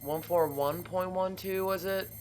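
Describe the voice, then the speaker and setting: Casual male game commentator, fairly high-pitched, conversational, with faint background noise. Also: steady tone, measured pace. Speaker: let's play host.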